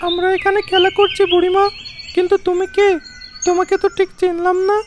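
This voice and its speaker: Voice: very high voice, flat delivery, a little fast, a little hiss or hum. A performer voicing a small, obedient village boy in a cartoon with a childlike voice. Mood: curious.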